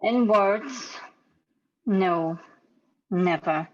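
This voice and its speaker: A woman playing a sorrowful but resolute young woman in a radio-style drama read over a video call, measured and earnest. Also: mid-range voice. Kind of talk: rehearsed radio-script drama reading. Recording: dull lo-fi video call audio.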